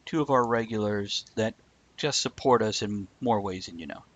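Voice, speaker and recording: A man's voice: on the low side, moderate pace, a bit bright, muffled recording. A man co-hosting a livestream, chatting casually in a joking, bantering way. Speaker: livestream co-host. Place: indoors, live stream.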